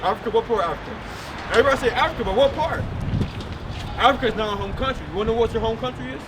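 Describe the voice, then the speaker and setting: Guy street preaching, keeps quizzing folks, fairly high voice, sounds far off w/ loud traffic around. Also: racing. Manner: emphatic, keeps asking the listener questions. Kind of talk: outdoor street preaching with call and response. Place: city street outdoors. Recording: distant mic with loud traffic noise.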